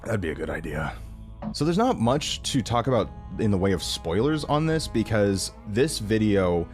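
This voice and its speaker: A young man with a fairly low voice talks casually to his viewers as a reaction video creator. He rambles informally and enthusiastically, with a self-deprecating streak, over clearly present background music. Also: very animated, normal speed. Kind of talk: casual rambling review to camera.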